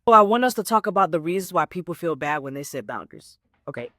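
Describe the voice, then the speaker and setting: A woman's voice: fairly low pitch, big pitch swings, fast talker, pushed and bright, no echo. Chatty woman coach in her twenties. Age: 20s. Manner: casual and conversational, with frequent "right?" and "okay?" check-ins; repeats herself to stress points. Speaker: life coach and podcast host. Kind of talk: solo motivational podcast talk.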